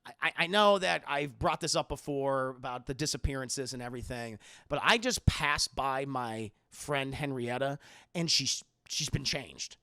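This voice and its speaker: A man voicing a worried, weary old grandmother witch who speaks haltingly. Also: mid-range voice, bright, forceful tone, no echo, clean studio sound. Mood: anxious.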